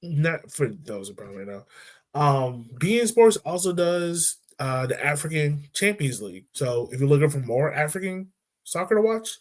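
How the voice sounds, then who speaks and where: A man's voice: fairly high pitch, normal speed. Laid-back man hosting a soccer podcast. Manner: relaxed, loose, lots of fillers like "um" and "like". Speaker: podcast host. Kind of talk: casual soccer podcast chat.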